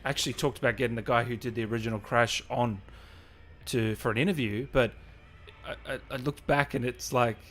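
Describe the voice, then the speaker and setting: A man's voice: medium pitch, steady tone, brisk, fairly bright tone, slight background noise. A man hosting a livestream in casual, chatty style, often repeating his phrases as he talks to viewers. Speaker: livestream host, gaming interviewer. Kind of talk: casual livestream chat, reading and answering comments.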